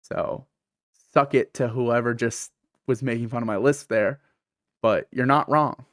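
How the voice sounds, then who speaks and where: A man's voice: medium pitch, steady tone, measured pace, a bit bright, no echo, studio quality. A man with a lisp who says th as f, hosting a casual solo podcast in a candid, self-deprecating, rambling way. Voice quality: th pronounced as f. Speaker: podcast host and streamer.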